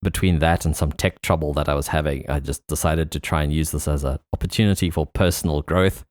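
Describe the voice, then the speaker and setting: A man hosts a casual podcast chat. His voice is extremely low, and it is recorded dry with no room sound. He talks in an informal, conversational way. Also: steady tone, a little fast, a little mellow, no room sound. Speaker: podcast host.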